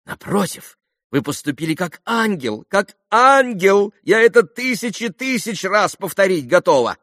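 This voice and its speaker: A man voicing a gushing, excitable society lady, a fussing mother who talks in effusive, melodramatic repetitions, in an audiobook novel. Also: high-pitched, measured pace, no echo, clean studio sound, pitched above the performer's own voice, exaggerated voice. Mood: rapturous. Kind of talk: audiobook classic novel dialogue.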